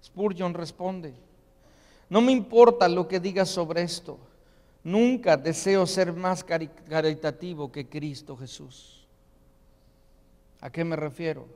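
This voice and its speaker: High-voiced pastor preaching. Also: male voice, slow pace, pauses often, darkish tone, long reverb tail. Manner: earnest preaching with frequent rhetorical questions and quoted asides. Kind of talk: sermon. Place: church sanctuary.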